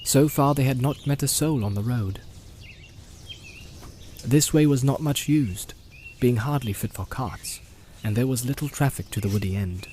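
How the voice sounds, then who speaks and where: A man with a mellow, medium-pitched voice narrates a fantasy tale. His delivery is deliberate and suspenseful, set over a faint soundscape of nature effects. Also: a little hiss or hum. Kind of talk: scripted audiobook narration, dramatic storytelling. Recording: home-made, nature soundscape mixed behind. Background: animal and nature sound effects.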